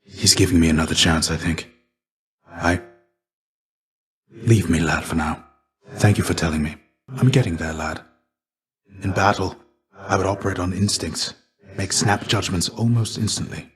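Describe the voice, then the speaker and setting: A deep male voice for a video game character: a fallen Greek warrior, stoic and weary. He speaks low, in measured, formal phrases. There is a restrained, gentle gravity to him, like a fatherly mentor. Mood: pensive, contrite.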